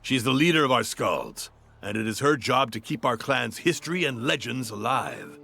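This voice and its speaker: An actor playing a brusque, gruff hunter in a fantasy video game, blunt and wry. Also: male voice, mid-range voice, big pitch swings, normal speed, sharp tone, heavily acted. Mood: confident. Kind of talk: video game cutscene acting.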